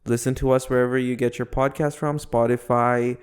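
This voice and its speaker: A man's voice: mid-range voice, little pitch movement, clear, resonant tone. A young man from the Philippines co-hosting a casual podcast, playful and joking. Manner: halting, searching for words in a second language. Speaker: podcast co-host.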